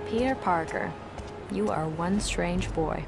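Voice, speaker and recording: An actress playing a young, expressive, gently chiding woman in a video game. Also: fairly low pitch, slow, music in the background. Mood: chiding, concerned. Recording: game audio with clear background noise.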